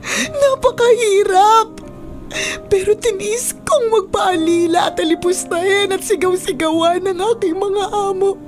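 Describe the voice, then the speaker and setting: Anguished weary mother, very high-pitched. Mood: tearful anguish. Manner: pleading, drawn-out emotional delivery. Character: anguished, weary self-sacrificing mother who toiled abroad as servant. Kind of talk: Filipino radio melodrama acting. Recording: loud music under the voice.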